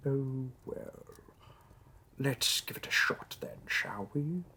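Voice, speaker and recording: A man as a slow-talking, creaky bureaucratic demon. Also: medium pitch, animated, sharp tone, slight background noise, heavily acted. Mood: puzzled, resigned. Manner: dry, formal, politely officious. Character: wry, courteous bureaucratic male demon interviewer in hell. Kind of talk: scripted roleplay audio monologue addressed to the listener.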